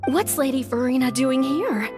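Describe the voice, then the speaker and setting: An actress playing an eager, grateful young theatre troupe member in a video game, sincere and earnest. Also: female voice, sits high, expressive, moderate pace, over music. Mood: earnest, wistful. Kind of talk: video game dialogue acting.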